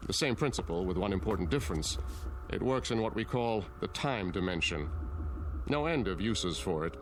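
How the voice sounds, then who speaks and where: An actor in a radio drama playing a courteous, self-assured leader of a secretive utopian town, speaking in a measured, explanatory, genteel way. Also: male voice, low voice, animated, slight background noise. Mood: genial, demonstrating. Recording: music under radio drama dialogue.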